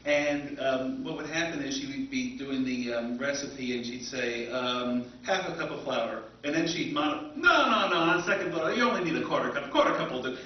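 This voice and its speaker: A man moderating an informal live interview, speaking in a halting, hesitant way. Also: mid-range voice, animated. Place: convention seminar room. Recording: distant, echoey hall, dull, hiss.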